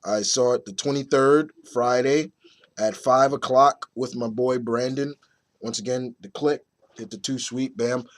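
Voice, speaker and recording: Slightly raspy man reviewing casually. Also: medium pitch, steady tone, measured pace. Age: 30s. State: mildly under the weather with a nagging cough. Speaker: movie reviewer. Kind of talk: casual vlog review talk to viewers.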